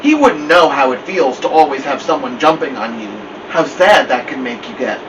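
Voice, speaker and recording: A man's voice: medium pitch, animated, moderate pace. A man reading a novel aloud as first-person storybook narration. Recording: dull, distant mic with loud air-conditioner hum.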